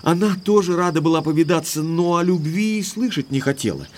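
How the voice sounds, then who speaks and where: An actor playing an indignant, lovestruck young English baronet in an audio drama, speaking emphatically like an exasperated gentleman. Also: fairly high pitch, expressive, fairly quick, slight background noise, close to an everyday voice. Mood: earnest, lovestruck.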